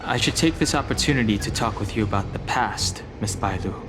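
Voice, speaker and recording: An actor playing a reserved, dutiful young dragon-descended guardian in a game, speaking in a formal, earnest, measured way. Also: male voice, on the low side, animated, natural voice. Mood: polite, calm. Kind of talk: anime-style game voice acting. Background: game music.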